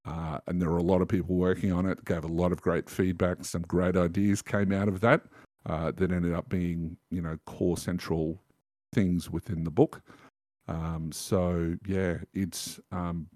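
A man's voice: low-pitched, steady tone, moderate pace, mellow, no echo, studio quality. A man in his fifties chatting as a podcast guest, enthusiastic as he recommends something. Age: 50s. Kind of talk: casual podcast chat.

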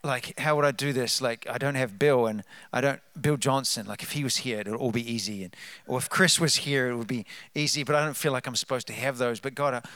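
A man with a New Zealand accent, a guest speaker telling testimonies at a church, animated and rousing the congregation. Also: mid-range voice, a little fast, no room sound, studio quality. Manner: animated, hypes up the crowd, trails off into asides. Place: church sanctuary.

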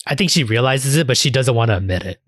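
A man's voice: mid-range voice, lively intonation, fast, no room sound. A man podcast host in casual discussion, rambling enthusiastically and swearing freely. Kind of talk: casual anime discussion chat.

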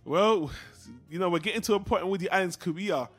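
A man's voice: high voice, fast talker, fairly bright tone, breathy edge, faint music behind. A man hosting a casual film discussion podcast, talking in a rambling, digressive and opinionated way. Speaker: podcast host.

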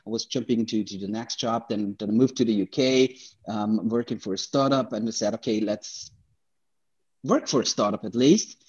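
A man with an Austrian accent and German as his native language talks through his story as an interview guest. His voice is mellow and somewhat husky. He speaks haltingly, doubling back on words, and the audio is slightly dull and lo-fi. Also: fairly low pitch. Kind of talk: conversational personal storytelling.

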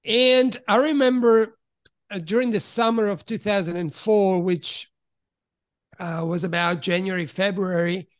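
A middle-aged man from Italy, raised in Switzerland, frankly telling his life story as a podcast guest and often pausing to search for words. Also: sits high, fairly even intonation, dry recording, studio quality. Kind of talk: candid personal storytelling in conversation.

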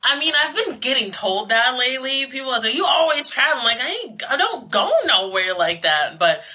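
A woman from Guyana and Trinidad, a podcast guest talking in a lively, emphatic, sassy way. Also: high-pitched, normal speed. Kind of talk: casual podcast chat.

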